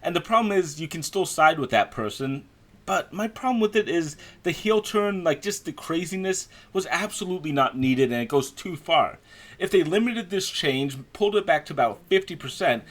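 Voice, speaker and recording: Conversational man reviewing video games. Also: medium pitch.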